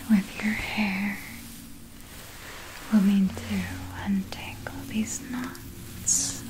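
Woman playing a slow, whispering, possessive vampire. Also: medium pitch, rough recording. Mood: tender, soothing. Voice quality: breathy whisper. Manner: slow, formal, archaic, lingering phrasing. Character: possessive, tender female vampire doting on her captive. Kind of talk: ASMR roleplay.

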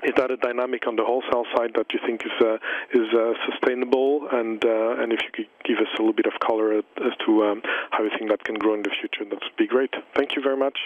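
A man asking formal analyst questions with halting phrasing over a telephone conference line. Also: mid-range voice, flat delivery, highs cut off. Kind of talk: formal question on an earnings call.

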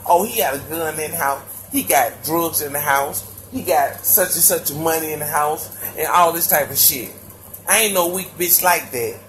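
A woman's voice: sits low, moderate pace, a bit bright, slight background noise, rough recording. A woman in a video clip, ranting in a blunt, defiant way with a lot of cursing. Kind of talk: blunt casual rant.

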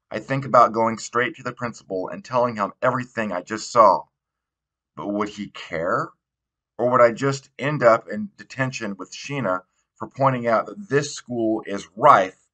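Bright-voiced man reading a novel aloud. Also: on the low side, poor audio. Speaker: audiobook reader. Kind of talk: read narration of a young adult novel.

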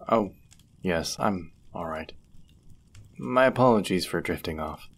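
Low, husky, courteous medieval innkeeper played by a man. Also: expressive, heavily acted. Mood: tender. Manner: formal, measured, old-fashioned diction. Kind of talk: roleplay audio drama acting.

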